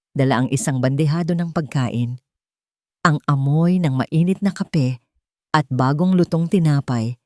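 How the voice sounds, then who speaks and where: A woman's voice: sits low, dark tone, no room sound, studio quality. A woman storyteller reading a scripted, dramatic romance narration.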